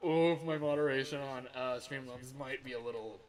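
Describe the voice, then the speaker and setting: A man's voice: medium pitch, moderate pace, a crowd in the background, rough recording. A man chatting casually on a livestream as an amateur voice actor, rambling, self-deprecating and breaking off mid-thought.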